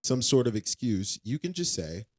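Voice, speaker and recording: A man's voice: medium pitch, big pitch swings, moderate pace, a little mellow. A young man co-hosting a casual podcast, emphatic and repetitive as he gives blunt advice. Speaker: podcast co-host.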